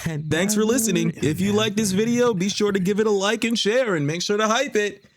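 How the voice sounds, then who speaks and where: A man's voice: sits high, fairly quick, no room sound. A man who hosts an audio roleplay channel, giving an upbeat sign-off to his listeners.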